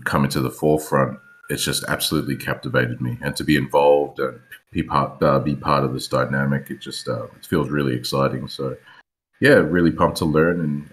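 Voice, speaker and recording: Very deep-voiced man. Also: a bit bright. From Australia. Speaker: brand strategist. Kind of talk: self-introduction on a call.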